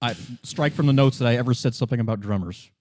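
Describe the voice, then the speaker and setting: A man playing a thoughtful, slightly self-conscious police lieutenant in a tabletop game, musing in a measured way. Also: mid-range voice, a little mellow, lightly acted. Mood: sheepish.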